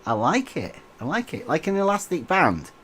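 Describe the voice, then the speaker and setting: A man's voice: on the high side, wildly swooping pitch, moderate pace, fairly bright tone, breathy edge. A man co-hosting a livestream, chatting casually and chuckling as he reads out viewer comments. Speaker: livestream co-host.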